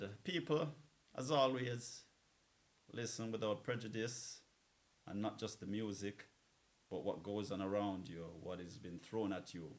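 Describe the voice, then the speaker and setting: A man hosting a music show as a DJ, talking in a laid-back, philosophical and encouraging conversational way between songs. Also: deep voice. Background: music playing under the voice.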